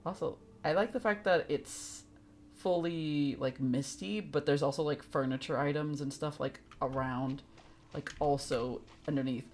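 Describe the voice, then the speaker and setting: A woman's voice: sits low, unhurried, a little mellow. A woman giving casual live commentary as a game streamer, chatty and wry with a sarcastic streak.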